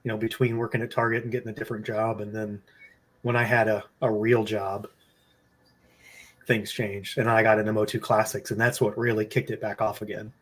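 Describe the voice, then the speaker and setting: A man in his late thirties chatting casually as a guest, relaxed and rambling with lots of fillers. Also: lowish voice, a little fast. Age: 30s. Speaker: guest toy collector. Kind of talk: casual chat.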